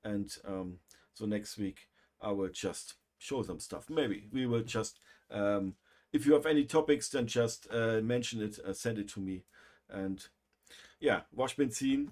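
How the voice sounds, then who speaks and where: A man with a German accent, a native German speaker, hosting a livestream and chatting casually, with a halting delivery full of pauses and fillers. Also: on the low side, a little mellow. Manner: halting, many fillers and restarts. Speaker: livestream host.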